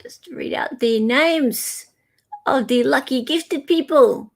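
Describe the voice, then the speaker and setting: A woman's voice: high voice, takes it slowly. An Australian woman hosting a livestream in a chatty, informal way, effusive when she gives thanks. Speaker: livestream host. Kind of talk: casual livestream chat.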